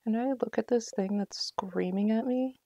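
A woman streams a game with relaxed, off-the-cuff commentary. Her voice is mellow, with plenty of vocal fry, and sits close and dry on the recording. She talks in a chatty, apologetic way. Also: a little high, normal speed, dry recording. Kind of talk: casual live gameplay commentary.

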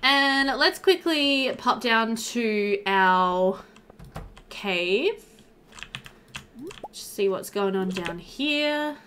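A woman's voice: on the high side, lively intonation, drawn-out words, pauses often, fairly bright tone, slight background noise. A young woman doing casual, chatty let's play commentary, thinking aloud as she plays, indoors at a computer. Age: young adult. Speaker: gaming video host.

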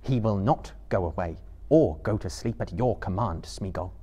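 A man voicing a grave young hero who speaks quietly and sternly. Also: fairly low pitch, expressive, moderate pace, husky. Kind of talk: audiobook character voice.

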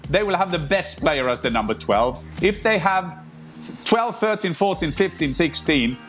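A man talking in a measured way as a football pundit in a casual panel debate. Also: on the high side, expressive, a bit bright, faint music behind.

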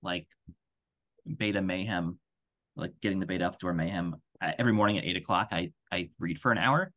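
Male podcast co-host, low register, informal rambling delivery. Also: brisk, a bit bright. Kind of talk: casual chat. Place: room at home on a video call.

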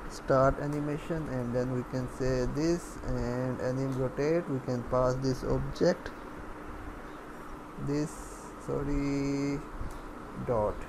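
A man giving a step-by-step screencast programming lesson in a halting way, as if thinking aloud while typing. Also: mid-range voice, fairly even intonation, background noise. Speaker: programming tutorial instructor. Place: voice-over recorded over screen capture.

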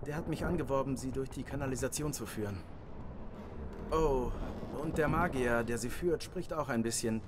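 A man's voice: medium pitch, moderate pace, background noise, lightly acted. A man voicing a chatty, wry male fellow prisoner who talks in an amused, easygoing way. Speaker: fellow captive. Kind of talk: German game dub.

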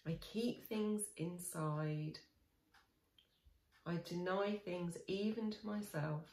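A woman in her forties guiding a tapping session, speaking slow, soothing, repeated affirmations with sighs. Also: lowish voice, a little mellow. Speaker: EFT tapping coach. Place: small room indoors. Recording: echoey small room.

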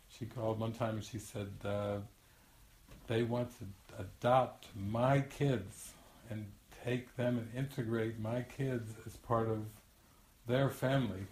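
A man's voice: on the low side, steady tone, slow pace, a little mellow. An older man, a spiritual teacher, telling a long anecdote in a rambling, chatty way with frequent restarts and laughter. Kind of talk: storytelling teaching talk. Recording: echoey room.

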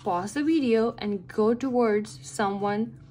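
A woman reading a nonfiction picture book aloud for children, with careful, deliberate and expressive phrasing. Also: a little high. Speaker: read-aloud narrator for children. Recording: background music under the voice. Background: music.

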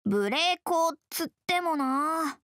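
Brash anime game tomboy girl, bright forceful voice, blunt slangy talk, easily flustered, very dry close sound. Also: sits high, animated, slow, dry recording, full character voice. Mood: cocky. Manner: rough masculine slangy speech, blunt. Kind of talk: video game character voice lines.

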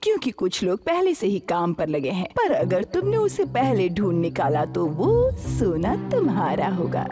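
A woman's voice: lowish voice, wildly swooping pitch, moderate pace, hardly any pauses, over music. A woman voicing a haughty, taunting rich collector in a cartoon dub. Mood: haughty, teasing. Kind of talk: Hindi cartoon dubbing.

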